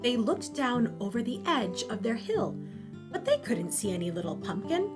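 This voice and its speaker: A woman narrating a scripted children's story in an expressive, deliberate storytelling manner. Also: a little high, over music.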